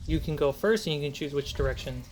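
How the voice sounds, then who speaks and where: A man's voice: on the high side, fast talker, darkish tone, a little hiss or hum. A man running a tabletop fantasy game as game master, narrating scenes and calling out results. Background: clear cinematic music.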